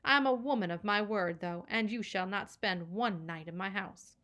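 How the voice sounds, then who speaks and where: A woman's voice: mid-range voice, normal speed, fairly bright tone, natural voice. A woman voicing a stern, haughty middle-aged foster mother who scolds in stiff, formal language. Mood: scolding. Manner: formal, scolding, pompous diction.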